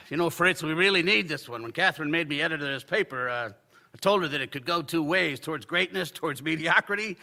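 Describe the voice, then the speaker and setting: Pushy newspaper editor, gravelly, bright sharp tone, swears a lot. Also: male voice, a little high, fast talker, heavily acted. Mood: earnest. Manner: blunt, profane, forceful. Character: gruff, driven male newspaper editor. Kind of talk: radio drama acting.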